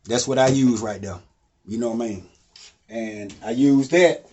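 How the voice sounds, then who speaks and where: A man's voice: a little slow, warm tone, a little hiss or hum. A man, casually explaining home studio gear in a loose, rambling way that keeps asking "you know what I mean?". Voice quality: deep voice. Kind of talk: casual how-to talk.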